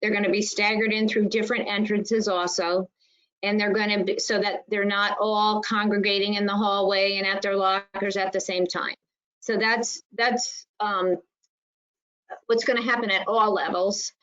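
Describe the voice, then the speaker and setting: A woman's voice: mid-range voice, little pitch movement, moderate pace, fairly bright tone. Muffled meeting audio, emphatic explaining woman. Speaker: school nurse coordinator on a panel. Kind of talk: informal spoken presentation and discussion in an online meeting. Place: online meeting call.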